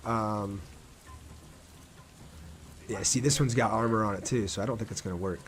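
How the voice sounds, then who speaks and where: A man's voice: fairly low pitch, normal speed. A man casually commentating on a game livestream and chatting with his viewers. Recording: clear background noise, game ambience.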